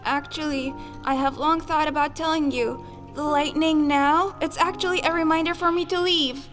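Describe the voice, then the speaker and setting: A woman voicing a small magical pet companion in an animated film, bossy, protective and exclamatory. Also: high-pitched, normal speed. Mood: hesitant, gentle. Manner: exclamatory, scolding, commanding. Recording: loud music over the voice.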